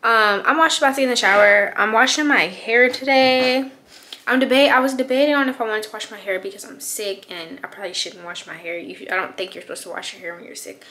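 A woman's voice: on the high side, fairly even intonation, fast talker, sharp tone. A young woman in her twenties with a congested, nasal voice from a cold, chatting casually in a rambling way for her hair care vlog, recorded on a phone in a small bathroom. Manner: rambling, lots of fillers, chatty. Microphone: phone or camera on a counter.

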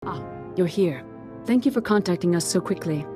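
An actress voices a solemn woman leading a Jedi council in a game. Her voice is on the low side, formal and considerate. Faint music plays behind. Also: expressive, moderate pace, natural voice. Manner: formal, authoritative. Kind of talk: video game voice acting.

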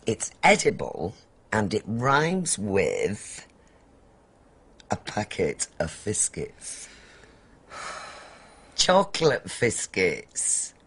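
Man playing a husky, fussy, chatty wife. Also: fairly low pitch, wildly swooping pitch, very slow, long pauses, a bit bright, heavily acted. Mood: coy, playful. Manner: coy, needling, prattling. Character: chatty, fussy, self-satisfied married woman in bed. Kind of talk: domestic comedy sketch dialogue.

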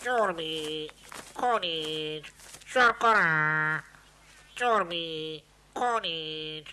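An actor voicing a chirpy cartoon pet parrot, squawky and sharp, repeating words in a drawn-out mimicking way. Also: medium pitch, slight background noise. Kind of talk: cartoon character voice.

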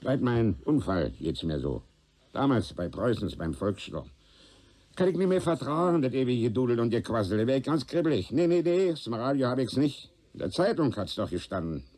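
An actor playing a nervous, hot-tempered old man in a radio play, halting and groping for words. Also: medium pitch, sing-song, darkish tone. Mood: irritable, defensive. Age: older. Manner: halting, muddled, gropes for words, colloquial. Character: nervous, irritable old male witness, forgetful and hot-tempered. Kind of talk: German radio play acting.

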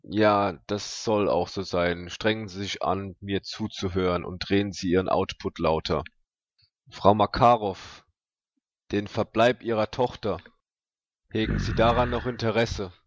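A secretive caller, played by a man in a tabletop game speaks in a fairly low voice, polite, deliberate and insistent. The recording is dry and a little dull. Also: steady tone, no room sound. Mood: coolly insistent. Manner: formal, deliberate, cryptic.

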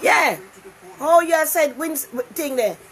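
Older jamaican lady live on her phone at home, kinda high voice, animated, keeps repeating herself, faint hiss. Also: slow pace. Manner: animated, repeats herself for emphasis, speaks to viewers by name. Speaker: talk show host. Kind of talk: casual live-stream chat. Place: living room indoors. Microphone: phone camera close up.

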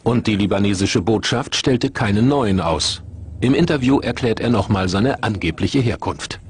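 Low-voiced male documentary narrator. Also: expressive, moderate pace, background noise. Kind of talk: scripted narration.

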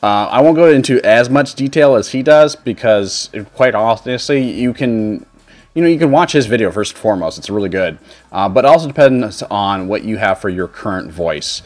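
A man in his thirties giving a casual software tutorial as a streamer, explaining things in an informal, rambling way. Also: on the low side, animated, moderate pace, soft background music. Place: indoor home streaming setup.

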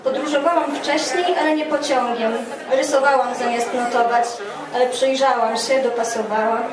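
A young woman reads poetry aloud in measured phrases. Her voice is high, and it carries from a distance through an echoing room with an audience present. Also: fairly even intonation, a crowd in the background. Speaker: poet reading own work at a festival. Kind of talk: literary poetry reading. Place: dark stage at a live poetry event, indoors. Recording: roomy live stage sound, distant, audience around.

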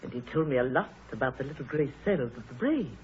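A woman's voice: rumbling low, lively intonation, fast talker, a little mellow, husky, exaggerated voice. An actress playing a chatty, nosy woman who fancies herself a sleuth, coy and insistent, in 1930s radio style. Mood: pleased, proud. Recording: muffled narrow-band 1930s radio broadcast.

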